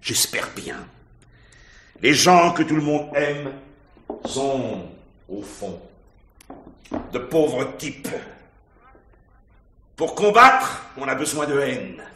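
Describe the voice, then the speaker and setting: An actor playing a cynical, taunting husband in a theatrical, sardonic radio mystery style. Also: male voice, mid-range voice, sing-song, extremely slow, long pauses, bright, forceful tone, slight background noise, exaggerated voice. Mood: sardonic, provocative. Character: cynical, morbid husband, a stage actor baiting his wife. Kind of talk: 1950s-style French radio mystery acting.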